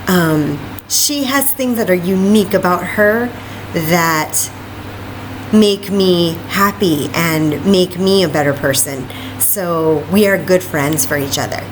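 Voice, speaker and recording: A woman's voice: fairly low pitch, takes it slowly, noisy background. A woman hosting story time for young children, chatting and reading aloud with exclamations and questions addressed to her little listeners.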